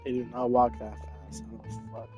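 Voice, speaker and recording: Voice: mid-range voice, little pitch movement, moderate pace, lo-fi sound, over music. A game streamer giving casual, muttered reactions while playing.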